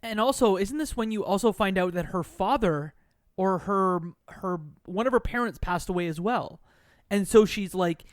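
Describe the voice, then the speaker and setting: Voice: medium pitch, dry recording, studio quality. A podcast guest chatting casually with a wry, joking manner. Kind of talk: casual chat.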